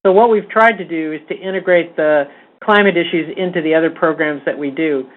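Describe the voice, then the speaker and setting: A woman's voice: low-pitched, brisk. A woman leading an advocacy organization, speaking informally and emphatically on a conference call in an earnest, rallying way. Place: conference call from a meeting table. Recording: tinny phone-line conference call.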